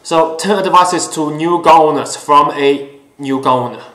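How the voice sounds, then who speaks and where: A man whose first language is Chinese hosts his own talk show, speaking earnestly with many pauses and fillers. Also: on the high side, sharp tone. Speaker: host. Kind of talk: casual solo talk show. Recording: echoey room.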